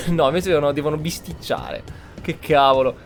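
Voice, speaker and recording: A man's voice: on the high side, a little fast, slight background noise. An Italian man giving casual, informal gameplay commentary with roleplay, sometimes mildly sweary. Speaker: game streamer, host.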